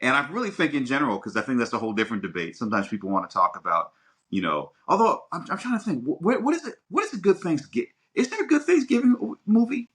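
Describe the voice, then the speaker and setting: A man's voice: fairly high pitch, sing-song, fast talker, a bit bright, breathy edge. A middle-aged American man co-hosting a podcast in a casual, rambling way, thinking aloud as he goes. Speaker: podcast host.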